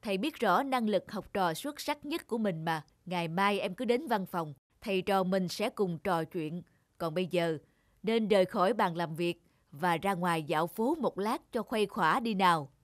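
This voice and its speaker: A woman voicing a kindly, wise older male teacher in a cartoon, measured and reassuring. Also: mid-range voice, lively intonation, no room sound, studio quality, close to an everyday voice.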